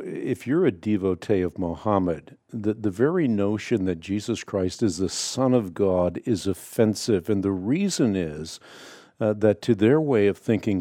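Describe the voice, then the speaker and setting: A man hosting a call-in radio show, answering a caller in a measured, explanatory way with many repeated starts. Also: on the low side, dark tone, dry recording, studio quality.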